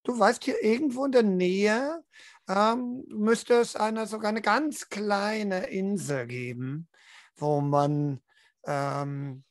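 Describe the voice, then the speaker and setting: A man's voice: high-pitched, steady tone, slow pace, dry recording, studio quality. A young man narrating a tabletop roleplaying game as game master over a video call. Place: home room, online video call.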